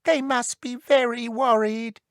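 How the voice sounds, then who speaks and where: A woman's voice: on the high side, steady tone, measured pace, no echo, studio quality, close to an everyday voice. A woman voicing a small, tender-hearted young male elf in a children's storybook, earnest and childlike. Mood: homesick. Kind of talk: children's storybook read aloud.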